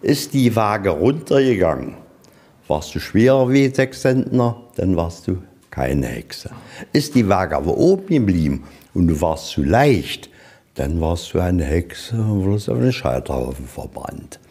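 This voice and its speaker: A man's voice: low voice, big pitch swings, measured pace, mellow. A man guiding visitors through a museum, explaining exhibits step by step in plain, colloquial words. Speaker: museum guide. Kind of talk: explaining exhibits on a guided tour. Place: inside a museum, on location.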